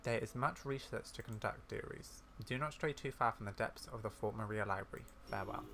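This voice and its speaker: A man acts out a kindly old granny scholar from a video game. The voice is bright, with a theatrical, wordy storyteller's delivery and endearing manner. Faint noise sits behind it. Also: lowish voice, brisk. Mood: fond, solemn.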